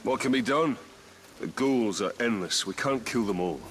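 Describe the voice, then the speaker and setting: Man playing a stern, measured ranger captain. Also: mid-range voice, lively intonation, a bit bright, a little hiss or hum, close to an everyday voice. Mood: grave, questioning. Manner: measured, dry, questioning. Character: stern, skeptical male ranger captain, grave and determined. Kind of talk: video game cutscene acting.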